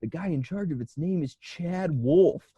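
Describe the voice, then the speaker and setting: A man's voice: on the high side, unhurried, slightly rough, no room sound, lo-fi sound. A man co-hosting a comedy podcast in casual chat, swearing freely and breaking easily into laughter. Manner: profane, animated, laughs easily. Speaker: podcast host.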